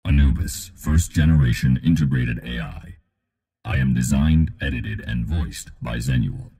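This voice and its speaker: A man voicing an obliging spaceship AI companion in formal, measured scripted lines. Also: very deep voice, mellow.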